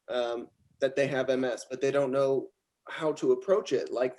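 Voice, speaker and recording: A man speaks in a relaxed, conversational way at an average pitch. He agrees readily and adds short replies between longer turns. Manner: relaxed, agreeable, frequent backchannels. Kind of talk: casual group conversation.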